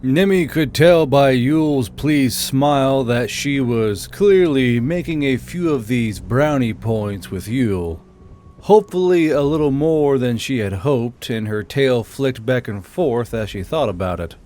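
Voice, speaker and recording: A man's voice: mid-range voice, animated, measured pace, slight background noise. A man reading scripted fiction narration as the narrator of an audiobook. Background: soft background music.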